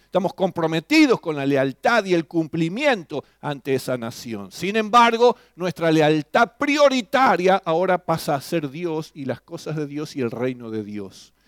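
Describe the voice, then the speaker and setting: A man's voice: on the high side, dry recording, studio quality. A man preaching a sermon, emphatic and full of rhetorical questions. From Argentina. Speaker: pastor.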